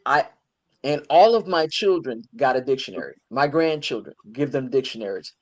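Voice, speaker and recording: A woman's voice: extremely low pitch, expressive, moderate pace. An older woman hosting an interview, talking in an enthusiastic, conversational way. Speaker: host.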